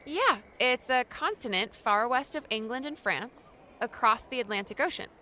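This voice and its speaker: A woman's voice: a little high, animated, moderate pace, bright, forceful tone. A woman voicing a playful, friendly young girl in an audiobook, chatty and explanatory. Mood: chatty, informative.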